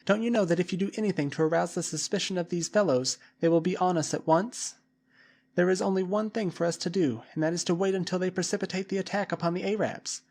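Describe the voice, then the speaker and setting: A man's voice: high-pitched, fast, a little mellow, pitched above the performer's own voice. A man voicing a decisive young woman who firmly leads a group in danger. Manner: firm, decisive. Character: commanding young woman, cool-headed leader.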